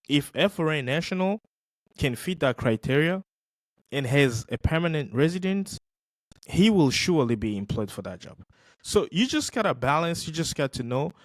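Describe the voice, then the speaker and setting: A man's voice: on the high side, big pitch swings, normal speed, no room sound. A man hosting a podcast, giving casual commentary on video clips, for whom English is not his first language, emphatic and repeating points for stress. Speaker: podcast host reacting to clips.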